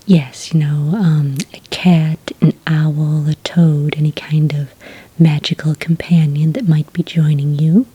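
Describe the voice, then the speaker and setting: Whispering, breathy woman as a friendly witch clerk. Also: sits low, little pitch movement, dark tone, heavily acted, poor audio. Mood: calmly helpful. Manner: unhurried, polite, hesitant customer-service patter. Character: friendly, obliging witch desk clerk at haunted inn. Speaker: ASMR roleplay performer. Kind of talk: soft-spoken whispered ASMR roleplay.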